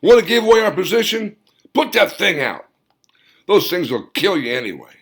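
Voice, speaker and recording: Audiobook character, played by a male reader: snarling, bossy man, pitched up, highly animated, scolding. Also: normal speed, slightly rough, full character voice. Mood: angry scolding. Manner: snapping, scolding. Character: snarling, irritable man bossing his companions.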